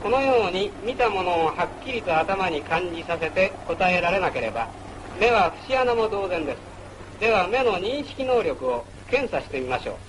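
A man's voice: high voice, sing-song, moderate pace, noisy background. A man narrating a wartime military training film in a formal, instructional manner, on an old film soundtrack. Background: music.

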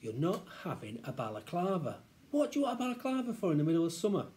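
A British man voicing an exasperated, bossy mother who scolds her son in a brisk, nagging way. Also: high voice, huge pitch swings, darkish tone, voice pushed up. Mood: exasperated, scolding.

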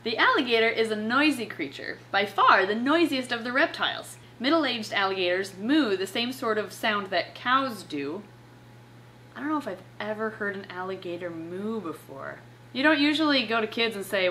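A young woman with a lively, bright, fairly high voice presents a pet video. She moves between reading aloud and casual, playfully sarcastic commentary, with faint room noise behind her. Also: moderate pace, poor audio. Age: young adult. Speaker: presenter of a pet reptile channel. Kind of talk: casual chat with read-aloud passages and commentary. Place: living room couch at home, indoors.

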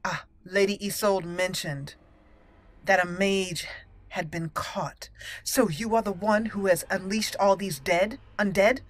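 A woman's voice: medium pitch, steady tone, measured pace. A woman doing casual, chatty let's play commentary with some roleplay, playful in manner. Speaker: gaming video host.

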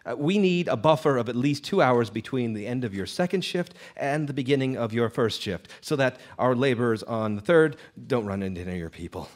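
An actor playing a put-upon night-shift manager, stammering and exasperated, in a live radio drama. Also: male voice, mid-range voice, lively intonation, fairly quick, few pauses, natural voice. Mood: flustered, placating. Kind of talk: live old-time radio drama acting. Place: live stage studio performance.

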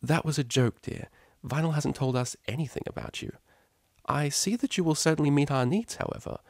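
A man voicing a kindly, easygoing older female pony who teaches art, with a gentle, friendly manner. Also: a little high, a little fast, no echo, studio quality, pitched above the performer's own voice. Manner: gentle, friendly, affectionate address.

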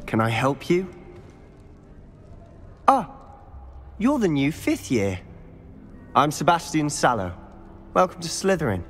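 Confident teenage boy, British, friendly, curious, lively intonation, game cutscene, faint music. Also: a little high, takes it slowly, long pauses, heavily acted. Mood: friendly, impressed. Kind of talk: video game cutscene acting.

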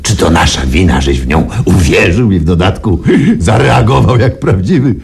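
Very deep-voiced, smug wealthy boss. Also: male voice, lively intonation, moderate pace, vocal fry, husky, full character voice. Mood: brazen, dismissive. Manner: chuckling, drawling, self-assured. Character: smug, domineering wealthy male boss, amused and callous. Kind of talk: Polish radio play acting.

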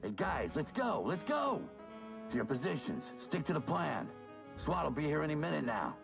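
An actor playing a wry, weary middle-aged crime-scene cleaner in a video game, sarcastic and world-weary. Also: male voice, fairly high pitch, sing-song, moderate pace, creaky, music in the background. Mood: urgent. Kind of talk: video game voice acting, monologue and dialogue.

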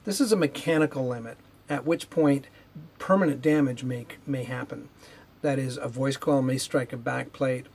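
Husky middle-aged man explaining technical material. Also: mid-range voice, normal speed, darkish tone. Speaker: presenter, loudspeaker R&D manager. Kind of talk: unhurried technical explanation to camera. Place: indoor video studio with whiteboard.

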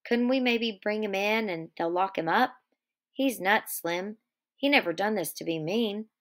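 A woman's voice: medium pitch, unhurried, fairly bright tone, close to an everyday voice. A woman voicing a tired, protective ranch man who speaks hesitantly and pleads for his friend. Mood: subdued.

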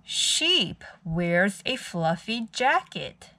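Woman with lively storytime intonation. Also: mid-range voice, very slow. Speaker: children's storytime host. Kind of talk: picture book read-aloud for young children.

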